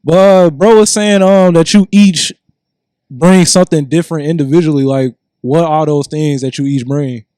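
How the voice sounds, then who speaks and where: A man hosting a podcast, asking guests questions in a relaxed, casual way. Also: fairly high pitch, moderate pace, no echo. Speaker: podcast host.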